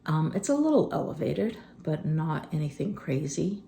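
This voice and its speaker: A woman in her fifties talking candidly and unscripted in a vlog, rambling with many fillers and a phlegmy throat she keeps clearing. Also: low-pitched, very animated, normal speed, warm tone, a little hiss or hum. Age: 50s. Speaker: vlogger. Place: rural homestead.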